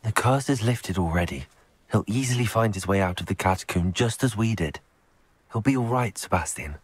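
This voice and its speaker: Young male game hero: low, expressive voice, determined teenage wizard, earnest and insistent. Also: normal speed. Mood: reassuring, firm. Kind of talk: video game character dialogue.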